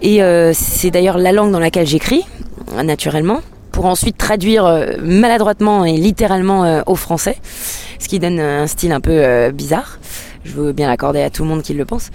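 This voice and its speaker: A woman's voice: on the low side, a little fast, darkish tone. A woman whose first language is English, a singer-songwriter answering interview questions in a hesitant way, with many filler sounds and restarts.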